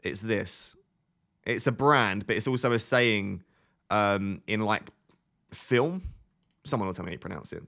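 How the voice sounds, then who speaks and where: A man's voice: on the low side, a little slow, vocal fry, pauses often, bright, forceful tone. A young man in his twenties hosting a live stream, chatting casually and thinking aloud as he answers viewer questions. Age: 20s. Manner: thinks aloud in a chatty way, often restarting phrases.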